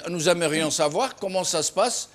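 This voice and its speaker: Male tv host running a political debate, voice a bit on the high side. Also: expressive, moderate pace, a bit bright. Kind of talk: moderating a live political discussion.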